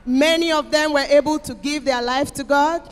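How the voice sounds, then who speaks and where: Middle-aged woman, high-pitched, emphatic testimony to a congregation, reverberant hall PA. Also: moderate pace. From Equatorial Guinea. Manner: emphatic, declamatory, repeating phrases. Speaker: church member giving testimony. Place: church auditorium. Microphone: handheld microphone. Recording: echoey hall PA with music behind.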